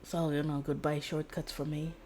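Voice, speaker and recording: A woman's voice: low voice, level tone, moderate pace, warm tone. A woman streamer chatting casually while gaming, in a rambling, giggly way.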